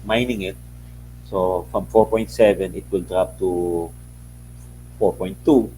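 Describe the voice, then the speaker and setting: A man with a dark, mellow voice and a Filipino accent explains figures on a call. He speaks plainly in short bursts, with faint noise on the line. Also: low voice, fairly even intonation. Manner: plain-spoken, short halting phrases. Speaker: mining company executive. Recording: slightly noisy call, faint hum.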